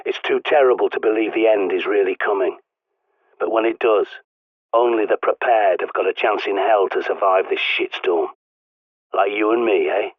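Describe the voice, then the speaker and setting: Over a narrow, crackly-radio style filter comes a dry, low-pitched English man, a paranoid doomsday survivalist from a video game. He is blunt and sweary, barking instructions like a bossy mentor to a raw recruit. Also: normal speed, no echo. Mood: grim, instructing. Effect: radio transmission filter. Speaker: game character voice actor. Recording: muffled narrow-band radio sound.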